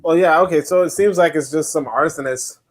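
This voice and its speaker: A man guest on a podcast livestream giving his reaction slowly and laughing as he speaks. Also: fairly high pitch. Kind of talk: livestream discussion.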